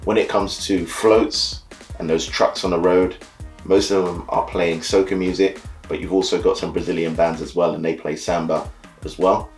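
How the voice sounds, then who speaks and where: Deep-voiced man, relaxed carnival talk. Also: moderate pace, soft background music. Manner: relaxed, easygoing, lots of "you know" and "so yeah". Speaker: carnival band leader and soca DJ. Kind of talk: casual explainer talk to camera.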